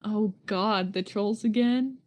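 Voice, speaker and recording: A woman's voice: mid-range voice, takes it slowly, a little mellow, close to an everyday voice. A woman voicing a goofy, eager teenage boy who is easily exasperated and talks in playful slang.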